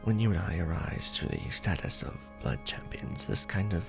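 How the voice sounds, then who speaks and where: A man playing a courtly, protective, brooding vampire lord in a soft, formal ASMR romantic roleplay. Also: low-pitched, lively intonation, gravelly, music in the background. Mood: soothing, protective. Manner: formal, archaic wording, slow and hushed.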